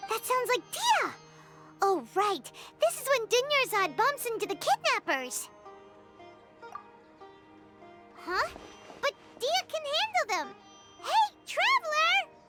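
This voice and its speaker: A woman voicing a small, childlike fairy companion in a video game, squeaky and perky, curious and a bit bossy. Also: unhurried, heavily acted. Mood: excited. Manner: perky, expressive. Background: music.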